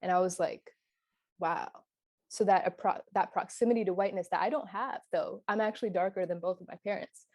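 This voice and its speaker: A woman's voice: mid-range voice, a little fast, a little mellow, no echo, studio quality. A young woman talking in a casual panel discussion, telling personal stories animatedly and laughing as she recounts them. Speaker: panel guest.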